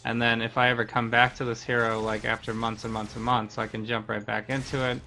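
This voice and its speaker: A man talks through a game for his stream in a casual, explanatory way. His voice has a bright, crisp edge. The intonation stays fairly level as he chats. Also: mid-range voice, level tone, fairly quick, slight background noise. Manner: explanatory, conversational. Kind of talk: casual gameplay commentary.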